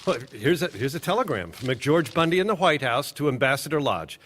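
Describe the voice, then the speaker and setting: An actor playing an eager male reporter in a radio drama, excitedly reading out documents. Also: a little high, brisk, a little hiss or hum. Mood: intent. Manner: excited, reads documents aloud.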